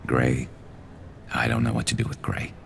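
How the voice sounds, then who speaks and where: A battle-weary alien soldier speaks in an extremely low, creaky voice over clearly audible ambient noise. He is a loyal rebel with a dry, measured wit, straight out of a sci-fi video game. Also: very animated, fairly bright tone, full character voice. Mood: brooding, conflicted.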